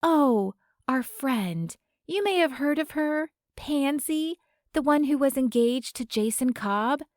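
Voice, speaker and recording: A woman's voice: sits high, animated, slow pace, dry recording, studio quality, voice pushed up. A woman voicing a pushy young female fan who chases celebrities. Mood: sweet.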